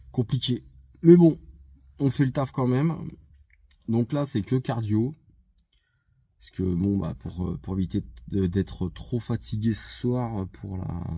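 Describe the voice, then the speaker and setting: A man's voice: low-pitched, warm tone, dry recording. A man with a head cold, stuffy nose and sore throat, chatting casually to camera for a vlog, hesitant with many fillers and restarts. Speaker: vlogger. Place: outside a gym.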